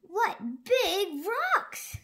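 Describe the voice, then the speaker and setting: A woman's voice: extremely high pitch, sing-song, very slow, fairly bright tone, pitched above the performer's own voice, exaggerated voice. A woman voicing a friendly, eager giant dinosaur in a storybook, playful and exclaiming.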